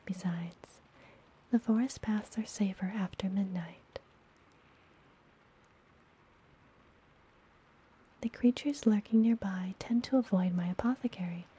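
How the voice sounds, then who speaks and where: A woman playing a friendly, mysterious witch healer in a whispered ASMR fantasy roleplay, soothing and gently teasing. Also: mid-range voice, breathy edge. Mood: gentle, caring. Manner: soothing, unhurried, softly whispered.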